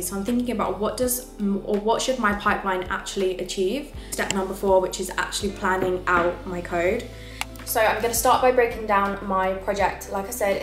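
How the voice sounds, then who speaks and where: A young woman at her home desk, talking casually and practically to the camera as she walks through her plan one step at a time. Her voice sits at a middle pitch. The room is echoey, and soft music plays behind her. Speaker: coding vlogger. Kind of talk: casual tutorial talk to camera.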